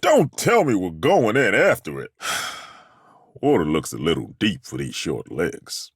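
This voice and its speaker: An actor playing a gruff, weary dwarf warrior in a video game, grumbling with crude, wry humor. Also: male voice, deep voice, huge pitch swings, slow pace, no echo, studio quality, full character voice. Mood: dry.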